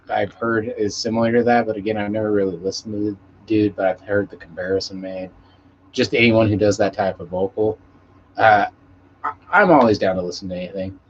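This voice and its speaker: A man hosting a casual live stream, chatting with viewers in a laid-back, rambling way and swearing freely. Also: on the low side. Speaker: musician. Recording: muffled lo-fi stream audio with background noise.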